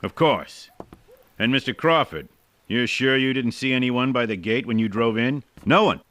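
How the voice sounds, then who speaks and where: A man acts out a blustering small-town policeman in a radio mystery. The voice is forceful and sharply animated, accusing and bullying with curt, impatient authority. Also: medium pitch, moderate pace, no echo, close to an everyday voice. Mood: suspicious. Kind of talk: old-time detective radio drama acting.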